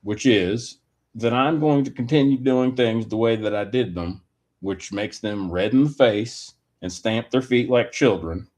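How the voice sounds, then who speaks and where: A man giving a rambling personal opinion monologue in a deliberate, halting manner with wordy, formal phrasing. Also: mid-range voice, a little mellow.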